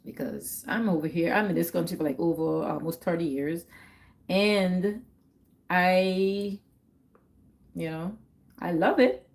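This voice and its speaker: A woman's voice: fairly low pitch, slow pace, creaky, pauses often. A middle-aged woman with a Guyanese accent, chatting casually on her livestream, repeating herself and laughing often. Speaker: livestream host.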